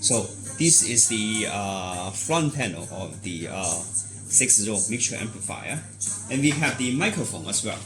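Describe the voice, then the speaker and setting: A man giving an unscripted product demonstration, explaining in a halting, repetitive way with non-native phrasing. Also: fairly low pitch, sing-song, music in the background.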